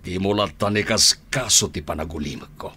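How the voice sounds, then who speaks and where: A man playing an older, ruthless crime boss father in a radio drama, with an Ilocano accent and a husky, deliberate delivery. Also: low voice, noisy background, close to an everyday voice. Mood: grave. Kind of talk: Filipino radio drama acting.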